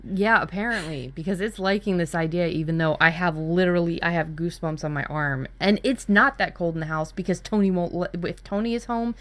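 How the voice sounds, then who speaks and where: A woman's voice: on the low side, brisk, few pauses, a bit bright, no room sound. A woman in casual brainstorming chat as a workshop co-host, talking candidly and dramatically, with some swearing. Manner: candid, sweary, dramatic about spooky ideas.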